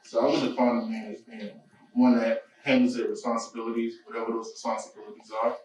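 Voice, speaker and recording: A man's voice: medium pitch, fast talker, darkish tone, slight background noise. A man on a panel, answering in a thoughtful, somewhat hesitant way. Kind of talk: panel discussion. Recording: very echoey room, mic at a distance.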